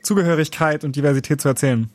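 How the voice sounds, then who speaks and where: Young man, casual interviewer on a video call, friendly and affirming, somewhat high voice. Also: very fast, a little mellow, no echo. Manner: friendly, affirming, loose questions with restarts. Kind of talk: casual conversation.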